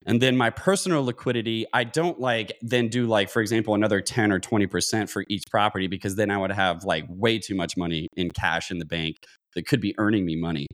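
A man's voice: lowish voice, brisk, fairly bright tone. A man in his thirties explaining things conversationally as an interview guest, thinking aloud and often restarting sentences. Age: 30s. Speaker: podcast guest, real estate investor.

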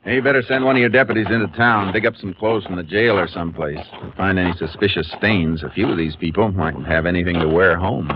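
An American actor with a Western accent playing a calm, methodical Texas Ranger in a 1950s radio western, clipped and matter-of-fact. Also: male voice, deep voice, fast talker, few pauses, natural voice. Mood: measured narration. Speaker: lead actor in a radio drama. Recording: muffled, noisy 1950s radio recording.

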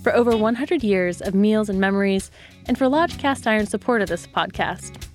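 A woman's voice: medium pitch, moderate pace, soft background music. A woman announcer delivering a scripted podcast sponsor read.

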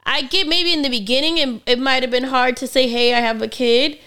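A woman's voice: sits high, steady tone, brisk, sharp tone, clean tone. A young woman hosting a podcast, chatting casually, firing off questions and laughing often. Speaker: podcast host.

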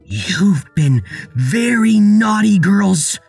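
A man's voice: sits high, animated, very slow, vocal fry, darkish tone, soft background music, voice pushed up, exaggerated voice. A man voicing a stern, scolding mother who is a menacing child-eating dragon in a storybook tale. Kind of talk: storybook character voice.

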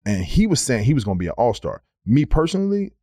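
A man in his thirties, a former pro basketball player, telling stories on a podcast in a casual, animated way, repeating words as he goes. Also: mid-range voice, fast, no echo, studio quality. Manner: animated storyteller, slips into quoted voices, repeats words. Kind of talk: casual storytelling.

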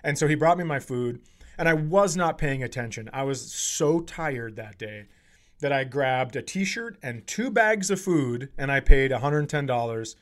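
A man hosting a comedy podcast, telling casual, profane stories in an animated way and acting out the quotes. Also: mid-range voice, unhurried. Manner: animated, profane, acts out quotes in his anecdotes.

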